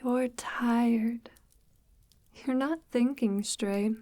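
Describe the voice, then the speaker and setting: A woman playing a calmly menacing forest witch in an ASMR roleplay, speaking softly and half-whispered in a formal, measured, gently patronizing way, close to the mic. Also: medium pitch, no room sound. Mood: stern, controlled. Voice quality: soft, breathy, half-whispered. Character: sinister forest witch, calmly menacing, devout, condescendingly tender. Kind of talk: ASMR audio roleplay, slow intimate monologue.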